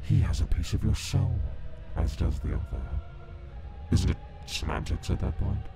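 A man voicing an ancient deathless monster, coolly menacing and mocking, speaking deliberately and cryptically in a comic dub. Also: low voice, big pitch swings, creaky, over music, full character voice. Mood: coolly curious. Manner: slow, deliberate, cryptic, condescending. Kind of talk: dramatic comic dub voice acting.

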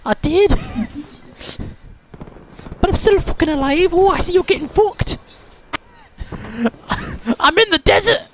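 Young guy with a high voice, swears a lot while he plays, loud gunfire going off behind. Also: unhurried, pauses often, a little hiss or hum. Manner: swears a lot, laughs, gets loud and excited. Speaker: gaming channel host. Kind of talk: casual gameplay chat. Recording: game audio with gunfire behind. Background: gunfire from the game.

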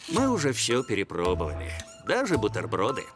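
A man playing a mild-mannered, self-assured engineer dad in a cartoon, speaking in a measured, mock-solemn way. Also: on the low side, wildly swooping pitch, a bit bright, faint music behind. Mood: confident.

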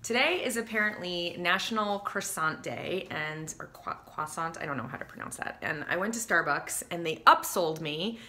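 A woman in her thirties, a vocal coach, talking casually to the camera from a small home studio and now and then singing examples. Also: sits low. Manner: chatty and animated, breaks into sung examples.

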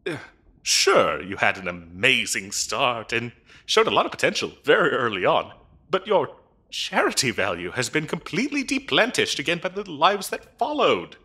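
A fussy demon clerk from hell's interview desk , played by a man, in a comedic audio roleplay. The voice is bright and a little husky, with lively swings in pitch. The delivery is officious and chatty, full of polite hesitation. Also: normal speed, heavily acted. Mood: urging, disappointed. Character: fussy, bureaucratic male demon interviewer in hell. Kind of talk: comedic audio roleplay acting, addressing the listener.

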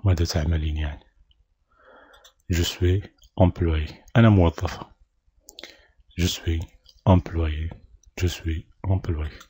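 Deep dark-voiced male language teacher. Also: breathy edge, no room sound. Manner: explains each line in Arabic, then repeats the French slowly. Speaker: French teacher. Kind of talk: slow repeated drill of a French dialogue.

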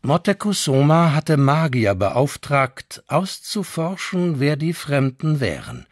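Slow, expressive man reading old chronicles. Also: on the high side, dry recording, studio quality. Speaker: reader of historical source texts. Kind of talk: dramatic scripted reading of chronicles.

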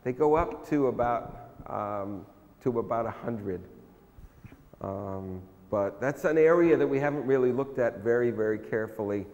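An older man, a guest lecturer, answering audience questions in a relaxed, hesitant way with many pauses. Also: mid-range voice, dull audio. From United States. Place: large frescoed hall, indoors. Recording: long hall reverb.

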